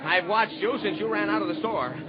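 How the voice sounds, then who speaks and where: An actor playing an excitable, insistent shopkeeper who was robbed, in a 1940s radio drama. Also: male voice, sits high, a little fast, over a noisy crowd. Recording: muffled old radio recording.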